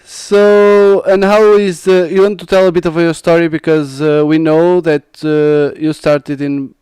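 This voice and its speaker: A man's voice: on the high side, level tone, unhurried, darkish tone, clean tone, no echo, rough recording. A male podcast host with a European Portuguese accent, chatting casually with a guest, laughing often and restarting his sentences. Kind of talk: casual live interview.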